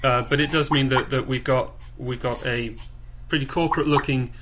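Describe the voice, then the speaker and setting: A man informally presenting to a group on a conference call, haltingly and with repeated words and phrases. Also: medium pitch, fairly even intonation. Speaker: project coordinator leading a group call. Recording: clearly noisy conference call recording.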